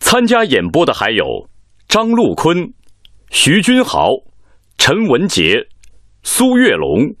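A man's voice: a little high, huge pitch swings, slow, long pauses, fairly bright tone, dry recording. A man reading credits as a radio announcer, in a formal scripted style on a close studio mic.

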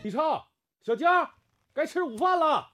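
An actor playing an anxious male factory workmate who calls out loudly in a radio drama. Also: extremely high pitch, lively intonation, measured pace, over loud music. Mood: alarmed, calling.

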